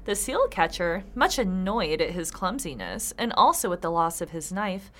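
A woman's voice: lowish voice, sing-song, normal speed, a bit bright. A tipsy woman co-hosting a casual comedy podcast, reading folktales aloud with sweary, sarcastic asides.

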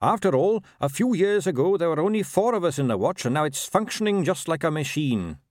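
Man acting an earnest, higher-pitched young police captain. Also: sing-song, darkish tone, no echo, studio quality. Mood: earnest, resolute. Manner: brisk, courteous, formal. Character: earnest, upright young male police captain, dutiful and polite.

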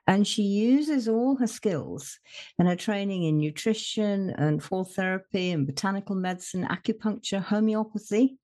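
Lively, effusive woman podcast host, voice swings up and down. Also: medium pitch. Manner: enthusiastic, effusive. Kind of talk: interview introduction.

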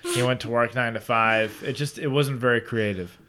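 A man at a middle pitch co-hosting a laid-back talk. He jokes and teases as he steers the conversation. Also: normal speed, noisy background. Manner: jokey, teasing, drives the conversation. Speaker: podcast co-host. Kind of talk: casual chat.

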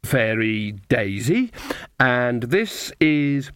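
A man's voice: mid-range voice, sing-song, extremely slow, fairly bright tone, clear, resonant tone, dry recording, studio quality, heavily acted. A man voicing a timid, awkward, well-meaning witch woman in an audiobook, hesitant and stumbling over words. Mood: flustered, hopeful. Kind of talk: audiobook character voice.

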